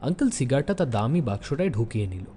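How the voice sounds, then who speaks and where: Man as a lively, mellow young storyteller. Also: medium pitch, fast talker, a little hiss or hum, close to an everyday voice. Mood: reflective. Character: observant young male sidekick narrating his detective uncle's case. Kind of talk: first-person audio story narration.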